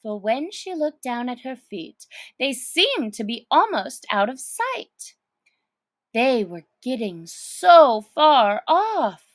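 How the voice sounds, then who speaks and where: A woman giving a deliberate, expressive reading of a children's story as narrator. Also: high-pitched, no room sound. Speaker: storybook reader.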